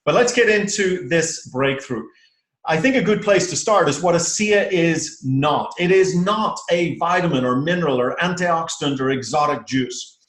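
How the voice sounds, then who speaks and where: A man's voice: on the high side, moderate pace, a bit bright, roomy sound. An upbeat man with an Irish accent, giving a rehearsed, persuasive sales presentation on a call and often saying "uh" and "okay?". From Ireland.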